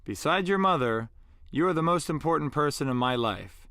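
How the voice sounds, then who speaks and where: A man's voice: on the high side, lively intonation, normal speed, fairly bright tone, clear, resonant tone, no room sound. A man reading as an earnest, tender middle-aged father. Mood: tender, apologetic.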